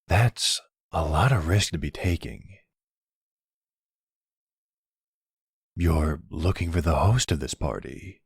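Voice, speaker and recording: A man's voice: no room sound, heavily acted. A man playing a smug, flirty, teasing vampire in an intimate ASMR roleplay, with a playful, taunting drawl close to the microphone. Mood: curious, mocking. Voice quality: deep, gravelly, husky. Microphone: close studio-style microphone, dry.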